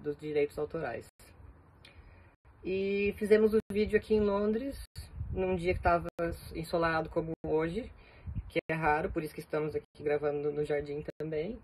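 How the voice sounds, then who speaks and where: A man's voice: on the high side, darkish tone, a little hiss or hum, rough recording. A man in his thirties, a guest collaborator giving a friendly, upbeat chat, recorded on a phone camera outdoors in a garden. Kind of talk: casual video greeting. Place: sunny back garden outdoors.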